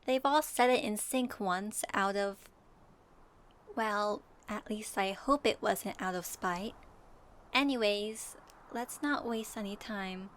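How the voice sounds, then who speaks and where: A woman playing a gentle, curious young female traveler in a soft ASMR audio roleplay, pausing as if for an unheard partner. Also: mid-range voice, a little hiss or hum. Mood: playful.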